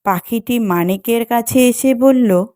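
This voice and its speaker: A woman's voice: fairly high pitch, takes it slowly, warm tone, no echo, studio quality. A woman reading scripted fairy-tale narration as the storyteller of a cartoon. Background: music.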